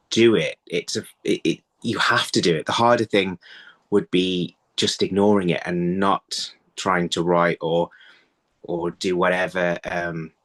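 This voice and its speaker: A man in his thirties giving conversational interview answers over a video call, haltingly, thinking aloud and repeating words. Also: deep voice, fairly bright tone. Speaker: writer being interviewed. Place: indoor room, video call. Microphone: phone on a video call.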